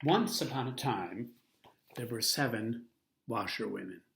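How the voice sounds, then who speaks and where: A man's voice: medium pitch, unhurried, vocal fry, a little hiss or hum. Man reading a picture book aloud. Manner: lively, expressive read-aloud delivery. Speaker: storyteller.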